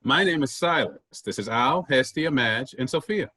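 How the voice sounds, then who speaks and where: A man playing a calm, hospitable travelling actor in a staged play reading, with a reassuring, plain manner. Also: mid-range voice, normal speed, no echo. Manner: reassuring, friendly, plain-spoken.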